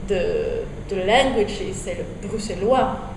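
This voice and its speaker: A middle-aged woman from Belgium, giving an interview in English and often pausing to search for words. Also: mid-range voice. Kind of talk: casual interview. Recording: echoey room with faint noise.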